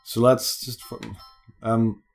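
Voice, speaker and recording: A man's voice: mid-range voice, level tone, slow pace, creaky. A man giving casual, sweary, informal commentary as he hosts a video game let's play. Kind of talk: casual gameplay commentary chat. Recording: game music behind the voice. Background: video game music.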